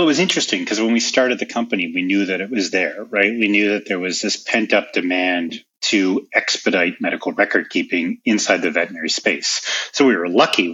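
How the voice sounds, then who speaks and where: A man's voice: deep voice, bright, forceful tone, breathy edge, dull audio. A man with a Canadian accent answering interview questions as a company founder, explaining things informally through anecdotes in a conversational way. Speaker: interview guest, software company founder and chief executive.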